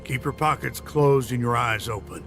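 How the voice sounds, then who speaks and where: An actor playing a gruff man of a rough city, giving warnings in a video game. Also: medium pitch, normal speed, over music, close to an everyday voice. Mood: stern warning. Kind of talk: video game dialogue acting.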